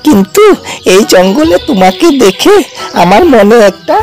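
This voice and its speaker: A man's voice: sits high, very animated, moderate pace, darkish tone, soft background music. A man voicing a friendly, pleading crow who was once human, in a fairy-tale cartoon. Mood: hopeful explaining. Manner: pleading, imploring.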